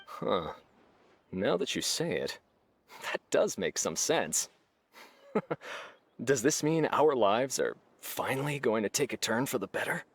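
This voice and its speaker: An actor voicing a skeptical, nervous desert villager in a video game. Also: male voice, medium pitch, big pitch swings, a little slow, pauses often, slightly rough, heavily acted. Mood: skeptical, hopeful.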